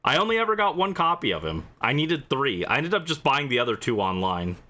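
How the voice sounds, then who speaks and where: A man's voice: mid-range voice, very animated, fairly quick, sharp tone. A man hosting a gaming livestream, chatting casually and playfully as he responds to his viewers. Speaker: livestream host.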